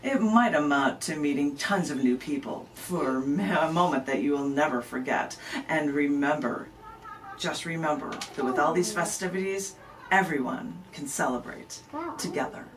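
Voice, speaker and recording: A woman's voice: deep voice, normal speed, distant mic, noisy background. A woman, telling stories like a live spoken-word poet in an emphatic, conversational way. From American Midwest. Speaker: poet, interview guest. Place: radio station studio. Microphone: camera microphone at some distance.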